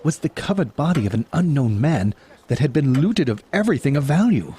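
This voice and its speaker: A man narrating a scripted dramatic tale as storyteller, solemn and measured. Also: fairly high pitch, big pitch swings, mellow, slight background noise. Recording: music behind the voice.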